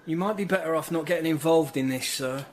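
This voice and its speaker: An actor playing a streetwise, irreverent and wary assistant in a Tudor radio drama, talking bluntly and informally. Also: male voice, on the high side, fast, a little hiss or hum, close to an everyday voice. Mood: warning. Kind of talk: period radio drama dialogue.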